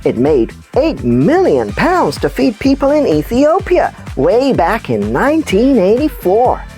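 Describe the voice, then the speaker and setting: Woman as an animated, excitable puppet news anchor. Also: on the high side, unhurried, faint music behind. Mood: enthusiastic. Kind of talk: scripted children's comedy news presenting.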